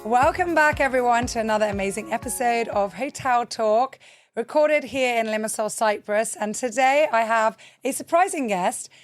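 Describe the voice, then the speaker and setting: A woman's voice: fairly high pitch, moderate pace, faint music behind. A woman hosting a podcast, eager and enthusiastic as she welcomes and interviews a guest. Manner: warm, enthusiastic, eager to praise. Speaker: podcast host. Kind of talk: casual interview.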